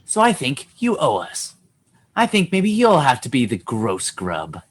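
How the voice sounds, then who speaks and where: A man's voice: mid-range voice, huge pitch swings, unhurried, breathy edge, heavily acted. A man playing a gleefully cruel, mocking child prankster in comedy radio theatre, with a scornful, taunting manner. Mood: menacing, taunting.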